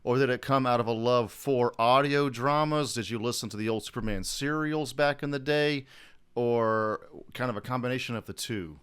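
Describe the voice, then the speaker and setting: Clear-voiced man co-hosting a podcast. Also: medium pitch, normal speed, fairly bright tone. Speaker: podcast co-host. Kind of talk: casual interview chat.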